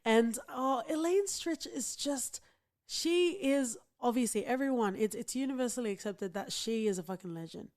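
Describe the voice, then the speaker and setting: Woman podcast co-host, higher voice, chatty and laughing, casual. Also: moderate pace, a little mellow. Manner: chatty, laughs easily, swears. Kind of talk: casual chat.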